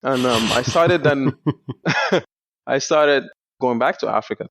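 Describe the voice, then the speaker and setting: A young man from Senegal, a startup founder, explaining his work in a measured way in a podcast interview. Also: medium pitch, fairly bright tone, dry recording. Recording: dull lo-fi dry sound.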